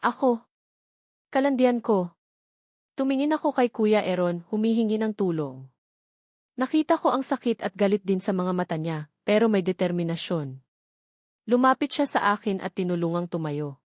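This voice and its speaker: Female narrator for romantic audio drama, medium pitch, emotional scripted first-person delivery, very dry sound. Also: expressive, moderate pace, darkish tone, dry recording. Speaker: story narrator.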